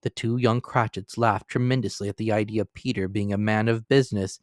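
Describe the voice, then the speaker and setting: A man's voice: lowish voice, darkish tone, no room sound, clean studio sound. Man reading a classic story. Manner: measured, theatrical storytelling. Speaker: reader. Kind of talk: dramatic reading of a classic novel.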